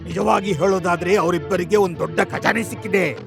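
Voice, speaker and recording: A man voicing a commanding, reassuring lion king of the forest in an animated animal story, with a gravelly voice. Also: high-pitched, a little fast, over music. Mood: reassuring, persuasive.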